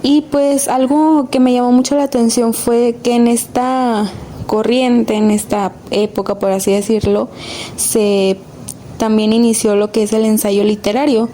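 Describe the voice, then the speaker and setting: A woman's voice: fairly high pitch, steady tone, measured pace, dark tone, slight background noise. A woman presenting a student podcast, explaining informally in a hesitant, conversational way.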